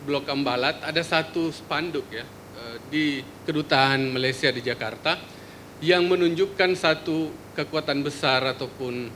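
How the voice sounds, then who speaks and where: Indonesian man presenting in a hall, bright tense voice, easygoing and halting, faint rumble behind. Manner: relaxed, joking, many hesitations. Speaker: forum speaker, media researcher. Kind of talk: conference presentation. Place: lecture hall.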